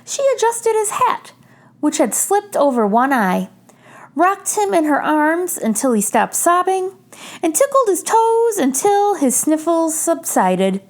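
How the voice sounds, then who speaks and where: A woman reads a picture book aloud for young children. Her voice is high and swings widely in pitch, with an unhurried pace. She phrases each part deliberately, as a storytime host would. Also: very animated. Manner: addresses young listeners directly, clear deliberate phrasing.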